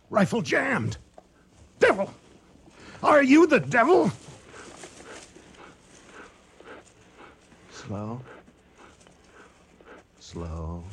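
A lone hunter in a radio play, worn out and gripped by fear. His delivery is extremely slow and broken, with wide swings of pitch over a faintly noisy recording. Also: creaky, fairly bright tone, heavily acted. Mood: hysterical, desperate. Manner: halting, broken fragments, muttering repetition. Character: exhausted, terrified lone hunter stalking a lion at night. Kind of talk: dramatic radio monologue.

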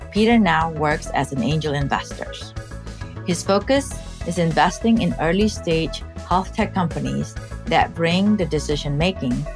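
A woman hosting an interview podcast, moving between scripted introduction and conversational questions. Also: low-pitched. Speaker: podcast host.

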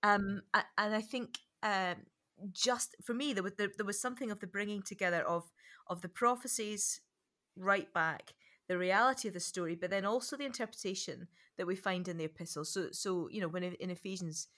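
Bright, forceful woman thinking aloud. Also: mid-range voice, a little fast. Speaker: podcast co-host. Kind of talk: casual reflective discussion.

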